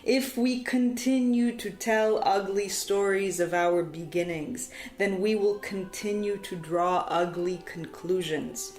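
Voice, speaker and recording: A woman storyteller delivering poetic scripted narration in a solemn, deliberate way, with dramatic phrasing and emphatic repetition. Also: mid-range voice, darkish tone. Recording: echoey, reverberant sound with music behind. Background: music.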